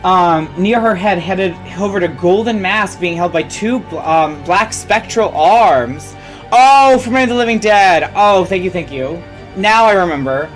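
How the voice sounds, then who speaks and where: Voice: mid-range voice, expressive, a little slow. A host reading a comedic story aloud theatrically, with asides and self-corrections. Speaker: fan fiction reader and host. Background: music.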